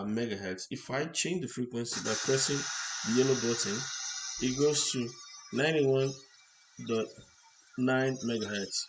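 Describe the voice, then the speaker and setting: Halting male tutorial presenter, noisy background. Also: mid-range voice. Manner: halting, step-by-step explaining in short phrases. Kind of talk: hands-on electronics demonstration, unscripted. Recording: clear background noise.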